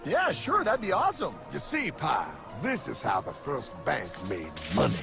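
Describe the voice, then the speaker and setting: A preachy, folksy cartoon guide with an American accent. His raised voice swings wildly from sly to theatrical and crackles with gravel. Loud music plays behind him. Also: on the high side, wildly swooping pitch, normal speed, full character voice. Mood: cocky. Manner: theatrical, folksy, calls listener pal. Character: cocky, preachy cartoon guide explaining banking. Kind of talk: animated cartoon explainer dialogue.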